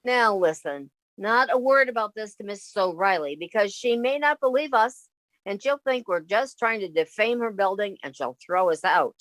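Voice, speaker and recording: A woman's voice: medium pitch, expressive, normal speed, a bit bright, natural voice. A woman playing a sensible, exasperated young roommate in a 1950s-style radio comedy. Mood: urgent, cautioning.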